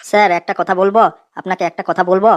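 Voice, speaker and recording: A woman's voice: lowish voice, level tone, fast, close to an everyday voice. A woman voicing a cheeky, dim schoolboy in a comedy cartoon, talking in a naive, wheedling, colloquial way. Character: cheeky dim schoolboy, a self-styled poet.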